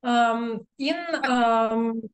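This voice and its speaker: A Ukrainian woman in her thirties, a language student, answering hesitantly in a halting beginner's way. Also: a little high, flat delivery, smooth. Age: 30s. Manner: hesitant, halting learner speech.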